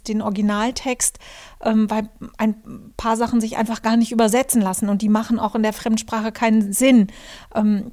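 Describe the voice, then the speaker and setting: Mellow-voiced German woman hosting a podcast. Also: on the high side. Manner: reflective, thinking aloud with many fillers and restarts. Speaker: podcast host, journalist and author. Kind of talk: solo podcast monologue.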